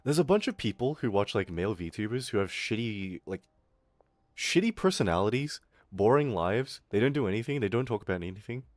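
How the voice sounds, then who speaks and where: A young Australian man rants on his stream with a lively, swinging delivery in a fairly low voice. A hint of American creeps into his accent as he swears and mocks people in put-on voices. His close mic sounds dry, with no room around it. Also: brisk, dry recording. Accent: Australian with some American. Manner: animated, swearing, mocking voices. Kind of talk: casual ranting stream chat.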